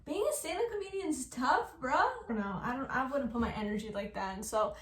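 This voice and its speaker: A woman's voice: high-pitched, lively intonation, fairly quick. A woman giving casual, informal, hesitant commentary as a reaction host. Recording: roomy echo with faint noise.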